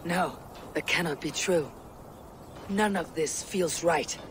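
An actor playing a troubled, stern young Viking warrior in a game, grave and blunt. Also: male voice, fairly high pitch, takes it slowly, slight background noise. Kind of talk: video game cutscene acting. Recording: music behind the voice.

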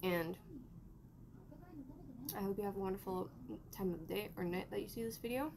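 A young woman rambles casually while sorting laundry, her voice gravelly with fry. She is recorded by a camera mic across a small bedroom, with a clear hiss of noise underneath. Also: mid-range voice, moderate pace. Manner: rambling, chatty, talking to herself while doing laundry. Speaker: vlogger. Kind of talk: casual vlog narration. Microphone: camera mic at a distance.

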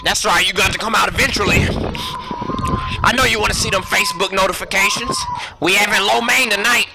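A man performing comedic animal voiceovers in an exaggerated, slangy, expressive way. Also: sits high, fairly quick, creaky.

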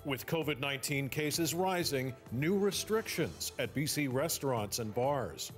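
A man anchoring an evening newscast in a measured delivery, speaking from a studio. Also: mid-range voice, expressive, soft background music. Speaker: news anchor.

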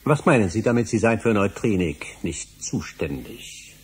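An actor playing a middle-aged history professor in a German radio drama, weary, brooding and insistent. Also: male voice, fairly low pitch, animated, moderate pace, slightly rough. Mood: insistent, formal.

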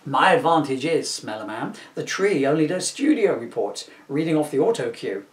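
Eager newswoman with wounded pride, played by a man, raised pitch, comic storybook delivery. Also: sing-song, normal speed, roomy sound, distant mic. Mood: earnest. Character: eager, wounded female TV news reporter.

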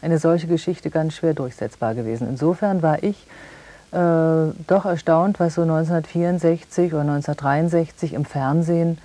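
A middle-aged woman conducting a measured television interview in a studio. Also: deep voice, fairly even intonation, warm tone.

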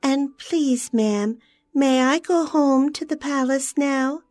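A woman's voice: high-pitched, takes it slowly, a little mellow, faint music behind, pitched above the performer's own voice. A woman voicing a small, timid female wren who speaks meekly and pleadingly. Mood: earnest. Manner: meek and pleading.

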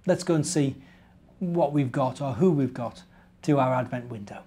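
A man's voice: mid-range voice, moderate pace, mellow, a little hiss or hum. A British man in his forties, a minister, chatting simply to young children as a storyteller and asking them questions. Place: living room indoors.

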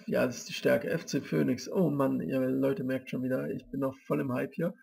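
A man's voice: medium pitch, steady tone, moderate pace, gravelly, warm tone, husky. A man giving chatty, rambling match-day commentary on an online football manager league, stumbling over team names.

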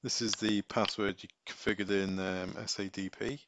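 Man, slightly deep voice, noticeable vocal fry, casual tutorial instructor, thinking aloud, faint background noise. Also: level tone, normal speed. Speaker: instructor giving a software setup tutorial. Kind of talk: casual step-by-step screen tutorial.

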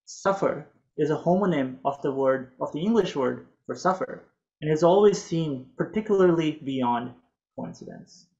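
A man in his thirties whose first language is Urdu, reading a prepared talk in a measured, earnest way over a video call from a home office. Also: a little high, animated, darkish tone, lo-fi sound. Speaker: physician presenter.

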